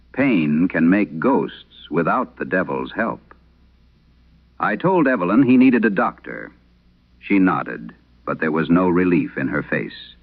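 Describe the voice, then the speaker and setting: Deep-voiced old priest played by an actor, grave and haunted. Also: male voice, phone-quality sound, heavily acted. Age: older. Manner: solemn, measured storytelling. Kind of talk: dramatic scripted horror radio monologue.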